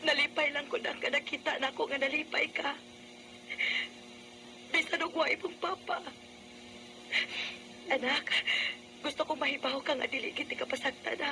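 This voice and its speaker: A very noisy radio drama recording carries an actress as a young Cebuano single mother. Her voice is high, earnest and emotive, that of a struggling but devoted woman. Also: fairly even intonation, normal speed, pauses often, lightly acted. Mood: tender. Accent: Cebuano Filipino.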